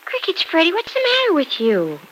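A woman's voice: extremely high pitch, wildly swooping pitch, a little fast, a bit bright, slight background noise, full character voice, low-quality recording. An actress playing an anxious young wife in a 1950s radio soap, earnest and pleading with her husband, close to tears. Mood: fretful.

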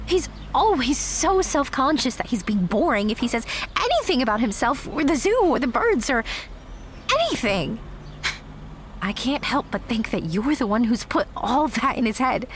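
A frank young woman in an audio drama, doubtful and protective of her friend. Her voice sits fairly high and moves in animated swings, with conversational hesitations that still land pointedly. Faint noise sits behind her. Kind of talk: naturalistic audio drama acting.

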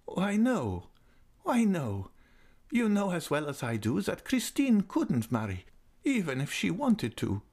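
A man's voice: high voice, huge pitch swings, slow pace, darkish tone, pitched above the performer's own voice, exaggerated voice. A man voicing a cheerful, childlike old woman who is frail and bedridden, talking gaily and coaxingly.

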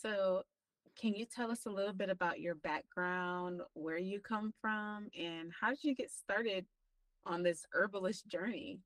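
A woman's voice: a little slow, no echo, far from the mic. A woman co-hosting a podcast in casual chat, enthusiastic and acting out her own quotes. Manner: enthusiastic, acts out quotes with big pitch jumps. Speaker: podcast co-host.

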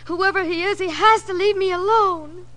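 A woman's voice: extremely high pitch, moderate pace, sharp tone. An American actress playing a frightened, evasive young woman, earnest and anxious, in 1940s radio drama style. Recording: old radio recording, faint noise.

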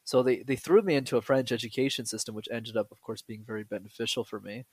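A young man from Canada, a guest on a podcast, explaining public speaking in a lively, joking way. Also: mid-range voice, fast talker, slightly rough, no room sound. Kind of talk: casual podcast interview.

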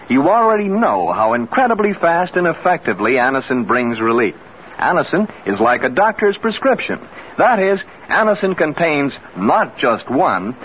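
A man announcing on 1950s radio, reading scripted advertising in a formal, emphatic, persuasive way. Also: fairly high pitch. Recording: muffled old radio recording, faint noise.

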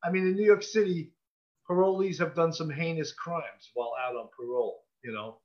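A man's voice: on the high side, a little fast, muffled recording. A police officer in his sixties speaking earnestly and bluntly in a casual conversation over a video call. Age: 60s.

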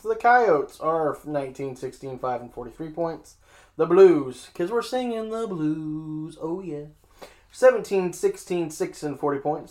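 A man hosting a casual sports show, reading out league standings and joking at his own expense. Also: a little high. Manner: joking, self-mocking, reading scores.

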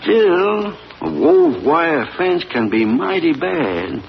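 An actor playing an older rural father and hound keeper who talks in a calm, firm, folksy drawl in a 1940s radio drama, on a dull old recording. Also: on the high side, takes it slowly, gravelly, slight background noise, heavily acted.

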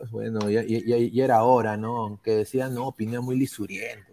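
A man's voice: fairly low pitch, flat delivery, brisk, mellow. A Peruvian man hosting a football podcast, chatting casually with lots of fillers and restarts. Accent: Peruvian Spanish. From Peru. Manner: chatty, many fillers and restarts, reads sponsor plugs. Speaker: podcast host.

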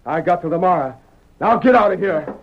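Angry high-voiced cowboy hero. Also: male voice, expressive, a little fast. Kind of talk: old-time radio acting. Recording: dull vintage radio recording, faint noise.

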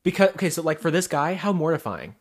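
A man's voice: a little high, fast. A man co-hosting a podcast from the Pacific Northwest, chatting animatedly about celebrity gossip with lots of "like" fillers. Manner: animated, many "like" fillers, reads excerpts aloud. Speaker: podcast co-host. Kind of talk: casual celebrity gossip chat.

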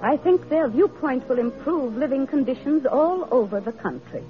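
A woman's voice: high voice, animated, moderate pace. An actress playing a principled, determined woman social reformer in a radio drama, speaking earnestly and firmly. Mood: thoughtful. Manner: earnest, firm, declarative. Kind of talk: vintage radio drama acting. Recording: muffled vintage radio, music behind.

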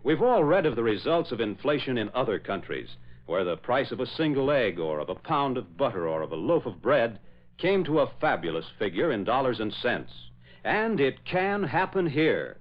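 A man's voice: medium pitch, big pitch swings, normal speed. A man announcing and narrating in formal, emphatic, dramatic 1940s radio style. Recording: muffled old radio broadcast.

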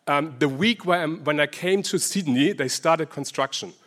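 German-accented male researcher, fairly high-pitched, hesitant conference presenter. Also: unhurried, a bit bright. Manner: hesitant, many ums and restarts. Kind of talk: conference talk.

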